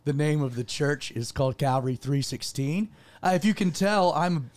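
A man's voice: a little high, lively intonation, moderate pace. A man hosting a radio show as a pastor, talking casually with fillers while his voice cracks from having lost it. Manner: good-humoured, rambling with fillers. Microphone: studio microphone.

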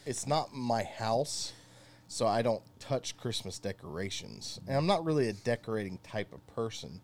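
A man's voice: medium pitch, lively intonation, moderate pace, a little hiss or hum. A man chatting as a regular guest on a radio show, dry and joking. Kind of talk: casual studio banter.

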